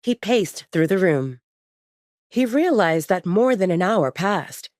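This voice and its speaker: Lowish, animated woman storyteller. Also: takes it slowly, no echo, studio quality. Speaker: audio drama narrator. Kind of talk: scripted dramatic story narration.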